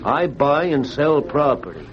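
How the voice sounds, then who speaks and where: A man playing a callous, scoffing land dealer with rough colloquial diction, in old-time radio acting style. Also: mid-range voice, big pitch swings, unhurried. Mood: callous, dismissive. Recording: dull old radio recording, crowd chatter.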